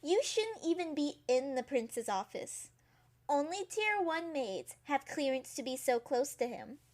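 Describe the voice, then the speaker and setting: A woman playing a nosy, high-voiced castle maid. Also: sing-song, a little slow, darkish tone. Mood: suspicious. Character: nosy, sharp-tongued young female castle maid. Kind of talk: audio drama acting.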